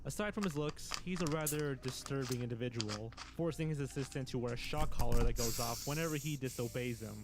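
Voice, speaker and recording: A man's voice: mid-range voice, fairly quick, few pauses, background noise. A man reading scripted narration for a countdown video. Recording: voice-over with background music. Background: music.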